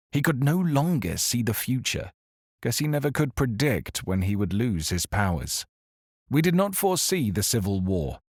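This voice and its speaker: A man performs as an exiled mind doctor giving a grave confession in an audio drama. He is earnest and weary, with a lowish voice that swings expressively in pitch. The sound is close and very dry. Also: slightly rough, dry recording, heavily acted. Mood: mournful, resigned. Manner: solemn, deliberate, dramatic pauses. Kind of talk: dramatic scripted monologue, audio fiction.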